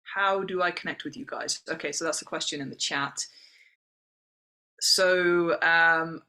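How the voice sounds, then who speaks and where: Bright-voiced woman hosting an online Q&A. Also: low-pitched, unhurried, low-quality recording. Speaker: host of an online information session. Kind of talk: spoken Q&A answering chat questions. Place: online video call.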